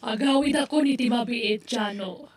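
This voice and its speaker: A woman voicing a mother coming home from abroad who speaks in a brisk, secretive way. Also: a little high, no echo, lightly acted. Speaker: voice actor. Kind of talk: audio drama acting.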